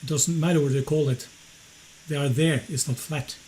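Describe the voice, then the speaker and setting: A man's voice: a little high, steady tone, normal speed, darkish tone, a little hiss or hum, low-quality recording. A man with a Norwegian accent, a native Norwegian speaker, arguing heatedly in an online debate. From Norway. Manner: argumentative, evasive.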